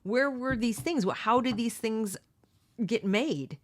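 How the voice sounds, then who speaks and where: A middle-aged woman hosting a read-along book discussion, giving opinionated commentary and asking rhetorical questions. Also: slow. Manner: mocks others with high exaggerated voices.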